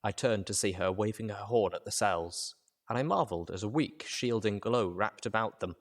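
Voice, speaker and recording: A man's voice: on the low side, moderate pace. A man reading scripted first-person fiction as a dramatic audiobook narrator.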